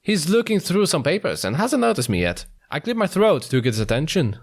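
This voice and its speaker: A man's voice: fairly high pitch, sing-song, a little fast, slightly rough. A man hosting a playful read-aloud of a visual novel, narrating it and dropping in joking asides. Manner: playful, jokey, breaks into laughter. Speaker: host of a let's-play, reading the narration. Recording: music behind the voice. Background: background music.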